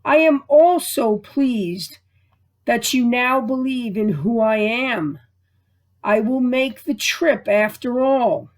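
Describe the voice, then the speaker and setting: A woman voicing a kindly, wise male storybook Easter Bunny with a gentle, measured, moralizing manner. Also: fairly high pitch, expressive. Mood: pleased, encouraging.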